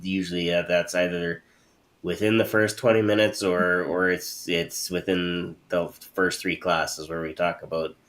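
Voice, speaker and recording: A man co-hosting a live show who also teaches hypnosis. His voice is low and deep, and his delivery is matter-of-fact, with small repeats as he starts each thought. He speaks over a video call. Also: level tone, unhurried, a bit bright. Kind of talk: casual interview chat.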